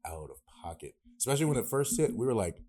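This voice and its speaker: A man's voice: medium pitch, sing-song, fast, dark tone, a little hiss or hum. A young man co-hosting a podcast, chatting in a laid-back, slangy way and often agreeing. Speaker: podcast co-host. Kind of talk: casual podcast chat.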